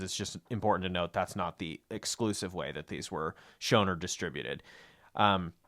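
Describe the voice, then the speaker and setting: A man with a fairly low, smooth voice hosts a history podcast. He explains in a measured, partly scripted way, dropping in small qualifying asides. The sound is dry and close, with no room around it. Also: fairly bright tone, no echo. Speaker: podcast host.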